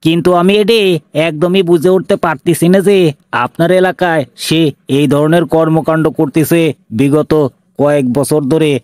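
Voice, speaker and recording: A man voicing a blunt, sarcastic, menacing police inspector in a cartoon, with a Bangladeshi accent and an officious, deliberate manner. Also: fairly high pitch, steady tone, a little mellow, dry recording, studio quality, lightly acted. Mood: accusing. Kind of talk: cartoon dialogue.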